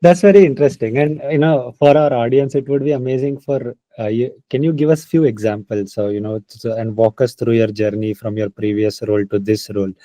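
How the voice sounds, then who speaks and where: A man's voice: mid-range voice, fairly even intonation, fairly quick, few pauses, dark tone, no echo. A man hosting an interview, asking long questions with many hesitations and restarts. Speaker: interviewer, host.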